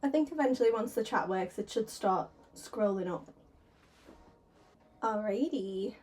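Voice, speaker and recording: A woman's voice: mid-range voice, lively intonation, unhurried, darkish tone. A woman with a sore throat, chatting and giggling nervously as she streams herself painting. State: sore throat, slightly hoarse. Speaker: artist streaming a painting session. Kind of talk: casual livestream chat. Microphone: desk microphone.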